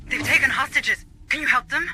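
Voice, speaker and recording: An actor playing an alert, dryly sardonic military operator guiding a squad in a video game. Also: male voice, very high voice, brisk, sharp tone, heavily acted. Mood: alarmed. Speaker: mission coordinator on comms. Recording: noisy game ambience behind.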